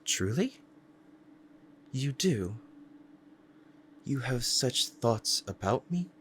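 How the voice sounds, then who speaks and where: A man's voice: mid-range voice, big pitch swings, drawn-out words, slight background noise. A man playing a powerful demon devoted to a beloved master, speaking formally and earnestly in a romantic ASMR roleplay. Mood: astonished, eager yearning. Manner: formal archaic diction, earnest and reverent.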